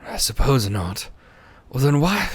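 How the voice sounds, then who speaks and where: A man playing a loyal, bumbling doctor who speaks in a slow, bewildered way in an audio drama. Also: medium pitch, big pitch swings, breathy edge. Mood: earnest.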